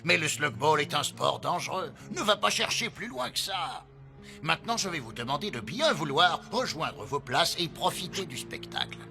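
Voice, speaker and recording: A man's voice: on the high side, big pitch swings, normal speed, creaky, bright, forceful tone, faint music behind, exaggerated voice. An actor playing a bossy, condescending and shifty male arena official in a dubbed cartoon. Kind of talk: cartoon dub acting.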